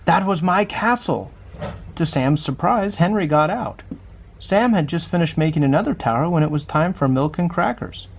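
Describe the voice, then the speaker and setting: A man's voice: on the high side, lively intonation, moderate pace, vocal fry. A man reading a children's picture book aloud, adding small conversational asides as he goes. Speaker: storybook reader.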